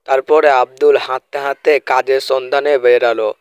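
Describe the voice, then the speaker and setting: A man's voice: mid-range voice, little pitch movement, moderate pace, clean tone, no room sound, lo-fi sound. A man narrating an amateur cartoon story in an informal, colloquial, repetitive storytelling manner. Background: faint music.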